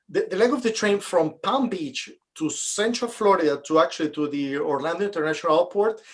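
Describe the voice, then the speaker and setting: Higher-voiced man explaining at length. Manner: explanatory, long winding sentences with fillers. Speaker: realtor, guest. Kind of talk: casual interview chat.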